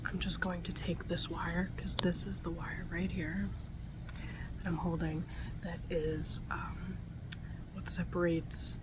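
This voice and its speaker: A woman doing a soft-spoken, often whispered ASMR roleplay, murmuring hesitantly step by step as she goes. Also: fairly low pitch, low-quality recording. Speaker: ASMR performer playing a robot repairer.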